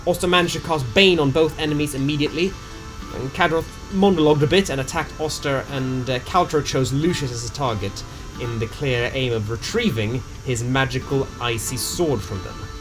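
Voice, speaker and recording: A man with a medium-pitched voice tells the story of a tabletop game session. His delivery is dramatic yet casual, with bits of slang along the way. Music plays clearly behind him throughout. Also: moderate pace. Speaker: narrator of a tabletop game recap. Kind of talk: narrated story recap, semi-scripted, casual.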